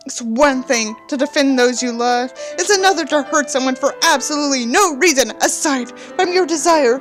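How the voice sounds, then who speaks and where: A woman's voice: high voice, a bit bright. A woman doing a dramatic book reading with sarcastic, sweary asides in a theatrical manner. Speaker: book reviewer and reader.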